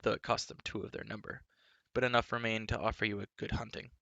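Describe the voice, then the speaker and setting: A man voicing a proud, bitter young prince who speaks low and hoarse with cold contempt. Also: level tone, fast, bright, forceful tone, dry recording, muffled recording. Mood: mocking.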